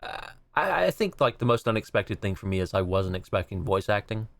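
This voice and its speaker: A man's voice: fairly low pitch, very animated, fairly quick, gravelly, a bit bright, no room sound. A man chatting casually as a podcast co-host, giving his opinions in an easygoing, agreeable way.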